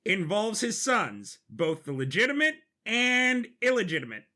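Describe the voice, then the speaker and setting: Man putting on a high, fussy, nervous bureaucrat. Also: very animated, measured pace, bright, forceful tone, exaggerated voice. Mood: apologetic, formal. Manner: awkward, fussy, polite. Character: nervous, apologetic, chirpy male royal bureaucrat messenger.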